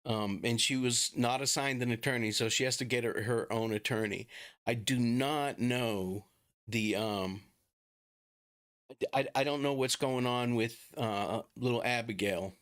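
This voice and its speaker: A middle-aged man with a Boston accent talks casually and haltingly to viewers as a livestream host. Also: medium pitch, a bit bright. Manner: informal, chatty, halting.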